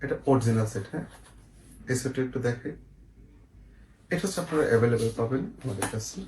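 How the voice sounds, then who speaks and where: A man's voice: medium pitch, fast talker, darkish tone. A man with a Bangladeshi accent casually presenting and explaining products as a seller, often checking in with the viewer. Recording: echoey room, faint noise, clicks.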